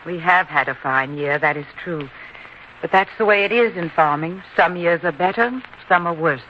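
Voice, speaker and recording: A woman acting a patient, worried widowed mother on a farm in an old-time radio drama, reasoning gently and in measured phrases. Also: fairly low pitch, lightly acted. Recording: dull, lo-fi radio recording with hiss.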